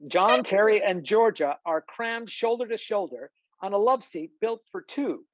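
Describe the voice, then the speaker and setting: A narrator reads screenplay stage directions in scripted fashion at a middling pitch. The sound is dry and a bit lo-fi, like a video call. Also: expressive, a little slow, no room sound. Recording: dull, lo-fi video call audio.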